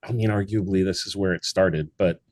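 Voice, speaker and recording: A man's voice: deep voice, fairly even intonation, a little fast, darkish tone, no room sound. An American man speaking as an interview guest in an articulate, reflective, conversational way. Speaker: interview guest, audio drama producer.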